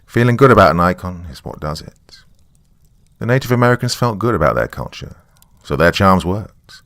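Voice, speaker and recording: Man acting a doomed, gravelly, low-voiced survivor. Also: animated, moderate pace, a bit bright, dry recording, clean studio sound, heavily acted. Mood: bitter, reflective. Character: doomed, weary, bitter survivor recording his final message. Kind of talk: dramatic first-person horror monologue.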